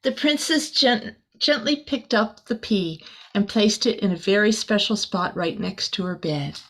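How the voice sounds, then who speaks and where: A woman's voice: mid-range voice, a little slow, a bit bright, rough recording. A woman reading a children's picture book aloud expressively, adding small chatty asides for a young listener. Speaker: storybook reader.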